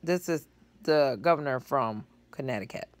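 A woman's voice: a bass voice, lively intonation, normal speed, dry recording. A middle-aged woman gives casual commentary to her phone from a living room couch, talking things through and doing sums aloud. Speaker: vlogger commenting on news clips. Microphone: phone held close at low angle.

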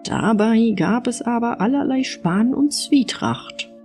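A woman narrating an audiobook fairy tale in an expressive storytelling style. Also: fairly high pitch, slow, soft background music.

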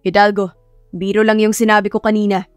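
A woman's voice: medium pitch, level tone, brisk, no room sound, faint music behind, natural voice. A woman voicing a stern, grumpy and aloof male professor in an audio love story, speaking curtly. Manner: curt, gruff, unbothered.

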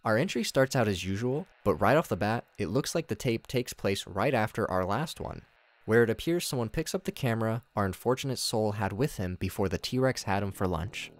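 A man's voice: fairly low pitch, animated, brisk, no room sound. A man giving a scripted narration that recaps horror footage in a casual, wry way. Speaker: narrator of a video commentary.

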